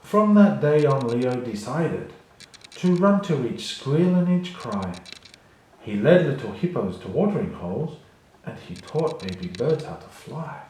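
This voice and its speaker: A man storyteller giving an expressive children's read-along narration. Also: mid-range voice, unhurried. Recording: very echoey room, faint noise.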